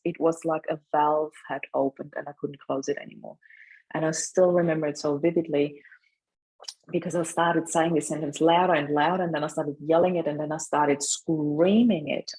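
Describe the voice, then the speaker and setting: A woman's voice: sits low, a little mellow, slightly rough, poor audio. A middle-aged woman telling a personal story as an interview guest, reflective and emphatic, sometimes voicing remembered remarks. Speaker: podcast guest, grief coach.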